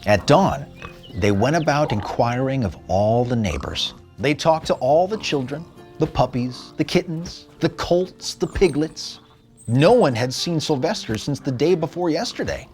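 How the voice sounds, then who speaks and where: A man narrating a children's storybook expressively, with theatrical phrasing and dramatic pauses. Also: a little high, lively intonation, darkish tone, faint music behind. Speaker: storybook reader.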